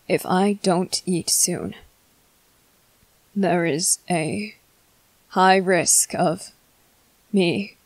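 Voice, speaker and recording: A woman playing a courteous, restrained male vampire commander in an ASMR roleplay, speaking in formal, halting, hungry phrases. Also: fairly low pitch, no echo. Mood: strained, pleading. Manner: formal, halting, measured, apologetic. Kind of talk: scripted ASMR roleplay monologue.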